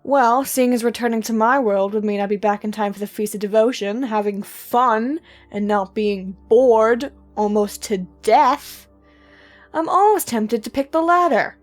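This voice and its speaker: A woman's voice: a little high, a little slow, soft background music, close to an everyday voice. A woman voicing a bored young muse who loves chaos, whiny and sarcastic, in an audio drama. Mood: sulky. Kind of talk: ASMR audio drama roleplay.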